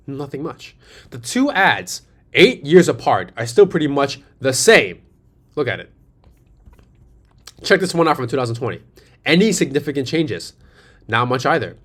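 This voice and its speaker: A man's voice: mid-range voice, fairly bright tone, slightly rough. A man with a New York accent, an agency founder giving a persuasive sales presentation over slides, asking rhetorical questions and repeating his key phrases. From New York City.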